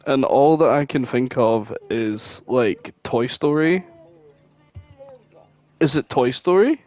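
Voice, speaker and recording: Animated, unhurried man in casual chat. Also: medium pitch, soft background music. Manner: informal, sweary. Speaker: podcast co-host. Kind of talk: casual chat, guessing game.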